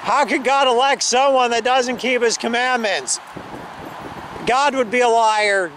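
High-voiced man preaching outdoors. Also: measured pace, poor audio. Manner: loud, insistent preaching that drops to calmer conversation. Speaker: street preacher. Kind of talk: open-air preaching, then debate. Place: outdoor church parking lot. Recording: wind noise on an outdoor camera mic.

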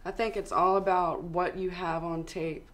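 A young woman with a Southern US accent, a guest on a studio interview, giving steady explanatory advice. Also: fairly low pitch, moderate pace. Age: young adult. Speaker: actress and model guest. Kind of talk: interview answers. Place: studio with city backdrop, seated on sofa.

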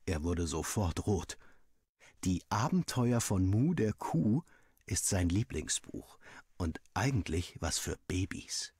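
Slow, low-voiced man reading a story. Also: animated, darkish tone, breathy edge, dry recording, studio quality. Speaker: narrator reading a Minecraft story aloud. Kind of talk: expressive scripted first-person storytelling.

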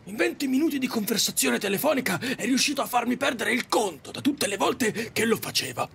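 A man's voice: very high voice, big pitch swings, fairly quick, gravelly, a bit bright, a little hiss or hum, full character voice. A man acting an exasperated, foul-mouthed taxi driver in an audio drama, ranting theatrically. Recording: faint music behind.

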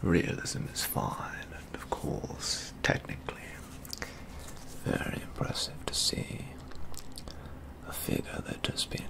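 A young man whispers his way through an ASMR roleplay in a low, very creaky voice. He draws out his phrases extremely slowly, with a breathy, genteel, theatrical delivery. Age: young adult. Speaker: ASMR roleplay performer playing a model painter. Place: indoor studio with plain backdrop.

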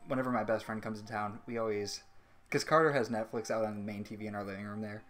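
A man's voice: lowish voice, steady tone, quick pace, a bit bright, slight background noise. Rambling, jokey young male streamer. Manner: rambling, jokey, reads chat questions aloud. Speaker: interview host, streamer. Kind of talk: casual live-stream interview.

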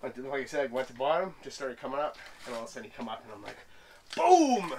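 Animated man telling a fishing story. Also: mid-range voice, fast talker, far from the mic. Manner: animated storytelling with sound effects. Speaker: fishing buddy. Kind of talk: excited casual banter. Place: inside an ice fishing shelter on a frozen lake.

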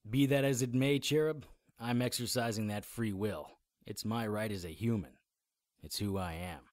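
A man's voice: lowish voice, normal speed, darkish tone, dry recording, close to an everyday voice. A man as wry, defiant radio drama hero. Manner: wry, dry, plainspoken. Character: defiant, wry occult hero narrating his own story. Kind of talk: radio drama, first-person noir narration and dialogue.

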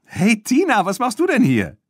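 A man voicing a bold, spirited young girl rider in a children's audiobook, eager and brisk. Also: sits high, huge pitch swings, slightly rough, no echo, clean studio sound, pitched above the performer's own voice, full character voice.

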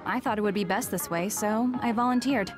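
A woman's voice: mid-range voice, fairly quick, lightly acted. A woman playing a cool, blunt young soldier in an anime game, terse and matter-of-fact. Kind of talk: English anime-game voice acting. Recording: music behind the voice.

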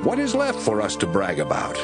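Expressive male scripture narrator. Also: lowish voice. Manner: emphatic, measured, rhetorical delivery. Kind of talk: dramatic scripted scripture reading. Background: music under the voice.

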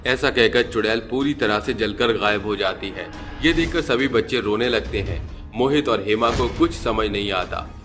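A man's voice: lowish voice, moderate pace, few pauses, a bit bright, faint music behind. A man narrating a scripted story as a narrator.

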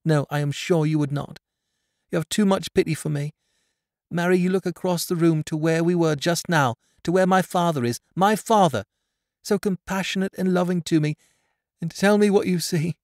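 A man's voice: moderate pace, darkish tone, no echo, studio quality, close to an everyday voice. A man voicing an anguished blind young woman. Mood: pleading. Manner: pleading, high and strained.

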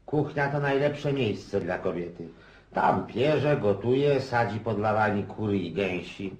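An actor playing a boorish, domineering Russian country landowner in a radio drama, blustering, bossy and folksy. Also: male voice, on the low side, animated, measured pace, darkish tone, husky. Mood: self-satisfied. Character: boorish, boisterous provincial Russian landowner, domineering husband and father. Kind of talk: Polish radio drama acting.

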